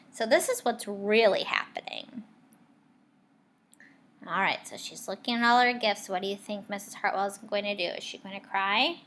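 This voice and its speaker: A woman teacher reading a picture book aloud, expressive, pausing to put questions and asides to her young listeners. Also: medium pitch. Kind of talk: read-aloud storytelling with asides to children.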